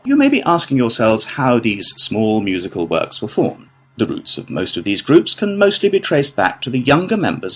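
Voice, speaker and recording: A British man delivering a scripted academic lecture in a measured, formal manner. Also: on the low side, breathy edge. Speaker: lecturer in a listening test.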